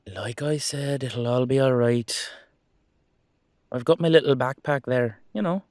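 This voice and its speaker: A man's voice: medium pitch, a little slow, darkish tone, no echo, clean studio sound, lightly acted. A man playing a cheeky, easygoing immortal headless horseman in a roleplay audio drama, talking in a casual, rambling, reassuring way. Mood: playful, casual.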